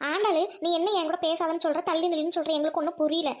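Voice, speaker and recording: A hot-tempered older village woman acted by a woman from a village drama. She speaks high and quickly, in a bossy, colloquial, questioning way. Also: flat delivery. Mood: insistent. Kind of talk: village comedy-drama acting.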